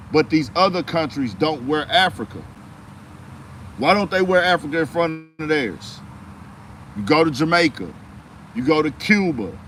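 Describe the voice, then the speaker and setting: Man, American, slangy commentary, emphatic, rhetorical questions, slightly high voice, faint street noise. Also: a bit bright. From United States. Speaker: commentary host. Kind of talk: political commentary monologue.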